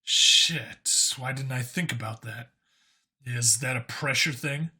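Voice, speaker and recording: A man playing a blunt, wry human cop in an audiobook, with a casual, low-key delivery. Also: takes it slowly, pushed and bright. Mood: puzzled. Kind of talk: audiobook character acting.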